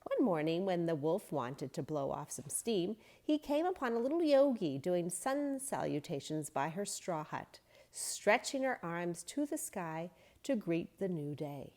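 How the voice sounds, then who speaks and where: Woman reading to kids aloud, slow and gentle, very lively pitch. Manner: gentle, expressive, unhurried. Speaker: storybook reader. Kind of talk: children's story read-aloud.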